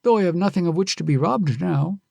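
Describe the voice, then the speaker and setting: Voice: medium pitch, expressive, brisk, creaky, mellow, slightly rough, dry recording, voice pushed up. A reader doing a pleading young traveler. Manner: courteous, pleading. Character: polite, earnest young male traveler.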